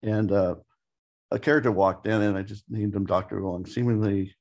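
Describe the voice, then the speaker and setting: A man's voice: on the low side, fairly even intonation, fairly quick, darkish tone, highs cut off. A man co-hosting a podcast, chatting casually and hesitantly as he thinks aloud in fragments. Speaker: podcast co-host and writer. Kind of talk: casual interview chat.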